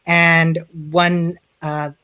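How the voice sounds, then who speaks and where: A man's voice: a little high, little pitch movement, drawn-out words, sharp tone, smooth. A man from Chile with a Chilean accent, a developer guest talking through his career in a casual streamed interview, self-deprecating and full of restarts.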